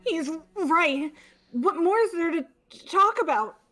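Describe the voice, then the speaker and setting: A woman's voice: extremely high pitch, lively intonation, slow, pushed and bright, heavily acted. A woman voicing a nervous, stuttering teenage schoolgirl in a fan-voiced game. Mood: defensive. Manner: stammering, defensive.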